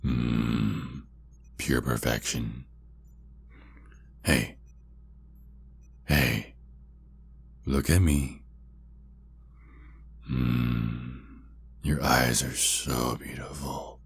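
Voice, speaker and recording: Man voicing a gravelly, very deep vampire captor. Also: steady tone, full character voice. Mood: seductive, hypnotic. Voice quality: gravelly, husky, breathy. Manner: slow, intimate, deliberate, with drawn-out pauses. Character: possessive, menacing male vampire kidnapper, seductive and taunting. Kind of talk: ASMR roleplay acting.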